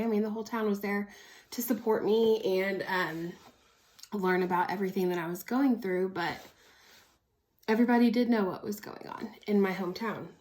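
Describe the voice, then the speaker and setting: Woman, early 30s, American accent, medium pitch, casual vlog storytelling, candid, informal. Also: a little mellow. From Oklahoma, United States. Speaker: vlogger. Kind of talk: casual personal storytelling to camera.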